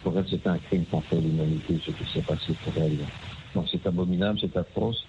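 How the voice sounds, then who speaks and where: A man's voice: sits low, fairly even intonation, a little fast, music in the background. An older man raised in Belgium, giving a phone interview in a hesitant way, with many fillers and repeated words. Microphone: telephone. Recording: tinny phone line with faint noise.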